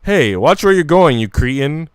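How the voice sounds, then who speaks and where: A man's voice: on the high side, very animated, normal speed, clear, resonant tone, voice pushed up. A man voicing a haughty, snappish young blonde girl in a visual novel. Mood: haughty, annoyed. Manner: imperious, scolding. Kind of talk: visual novel character reading.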